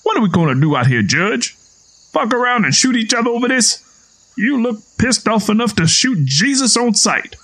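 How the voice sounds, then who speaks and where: Man voicing a high-pitched, taunting Southern man. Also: sing-song, normal speed, a bit bright, heavily acted. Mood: exasperated. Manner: folksy, taunting, argumentative.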